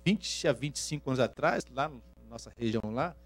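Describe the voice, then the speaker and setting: A man from Rio with a Minas Gerais accent, preaching a sermon, swinging from hushed asides to loud, drawn-out emphasis. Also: mid-range voice, expressive. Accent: Minas Gerais Portuguese. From Rio de Janeiro, Brazil. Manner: shifts from hushed asides to loud, slow emphatic scripture reading. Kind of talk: sermon to a congregation.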